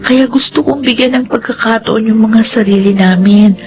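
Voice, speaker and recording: Woman playing a soft hopeful young heroine, radio drama, highish voice, pleading, music in back. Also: normal speed, dull audio, lightly acted. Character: gentle, trusting young woman, hopeful and forgiving. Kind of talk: Filipino radio drama acting.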